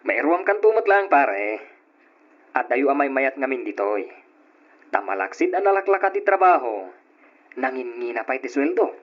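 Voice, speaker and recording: An actor with an Ilocano accent playing a breezy, friendly, encouraging city buddy in a radio drama. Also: male voice, medium pitch, sing-song, moderate pace, pauses often. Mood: jovial. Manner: jovial, encouraging. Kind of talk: Ilocano old-time radio drama acting. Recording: dull lo-fi old radio drama recording.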